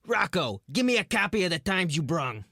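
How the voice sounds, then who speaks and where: A man's voice: high-pitched, sing-song, brisk, creaky, sharp tone, husky, dry recording, studio quality, exaggerated voice. A man voicing a suspicious, commanding gangster boss with tough old-time mobster slang in an audio drama.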